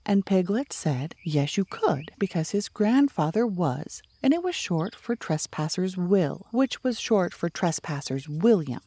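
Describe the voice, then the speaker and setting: A woman's voice: on the low side, big pitch swings, unhurried, hardly any pauses, dark tone. A woman reading a children's story aloud as an audiobook narrator.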